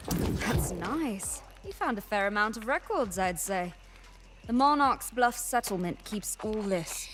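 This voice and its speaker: An actress playing a weary, friendly quest giver in a fantasy video game, speaking in a gentle, understated, earnest way. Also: female voice, medium pitch, expressive, a little slow, noisy background, close to an everyday voice. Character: weary, friendly woman guarding a fantasy settlement. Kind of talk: scripted game dialogue, conversational.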